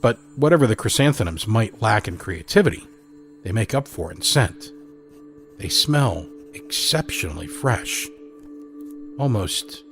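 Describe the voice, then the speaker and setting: A man narrates a drama in a measured, literary voice with dry wit. His voice sits on the low side. A faint score plays softly beneath the narration.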